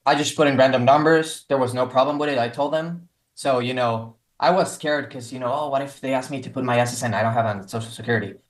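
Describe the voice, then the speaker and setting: A man's voice: mid-range voice, quick pace, few pauses. Young man, roomy sound. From Mexico. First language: Spanish. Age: 20s. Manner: relaxed, rambling with many fillers. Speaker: guest. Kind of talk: casual interview.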